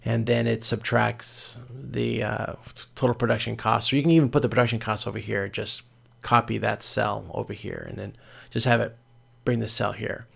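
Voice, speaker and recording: A man giving an informal, step-by-step spreadsheet lecture as a course instructor, with a gravelly, creaky voice. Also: fairly low pitch, level tone, normal speed, a bit bright. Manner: explains step by step in plain informal words.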